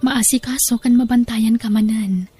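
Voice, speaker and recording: A woman playing a caring, gently joking grandmother and wife in an Ilocano audio drama, tender and plaintive, with an Ilocano Filipino accent. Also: on the high side, steady tone, moderate pace, slight background noise, natural voice. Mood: tender, concerned. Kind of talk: Ilocano radio-style audio drama acting.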